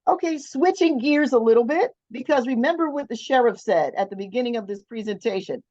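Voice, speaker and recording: A woman's voice: on the high side, lively intonation, brisk, darkish tone, no echo. A woman moderating a political meeting, introducing speakers in an upbeat, emphatic way. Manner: upbeat, emphatic, keeps things moving. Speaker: event moderator. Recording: dull, dry sound.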